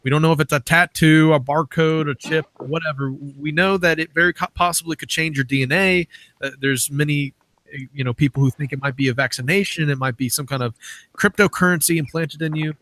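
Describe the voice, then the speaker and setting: A man hosting an interview in a casual, conversational style. His voice is bright and forward, a little higher than most men's. The sound is dry and close, with no room echo. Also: steady tone, a little fast. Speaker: interviewer, podcast host.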